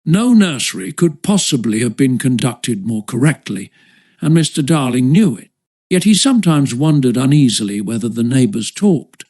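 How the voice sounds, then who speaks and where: A man's voice: mid-range voice, animated, moderate pace, a little mellow. A man reading a children's story as scripted audiobook narration.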